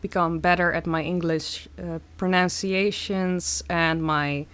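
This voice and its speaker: Young woman, Dutch accent, unhurried halting stream chat. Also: on the low side, fairly even intonation, a bit bright, clean tone. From Netherlands. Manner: slow, halting, many fillers. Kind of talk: casual live-stream chat.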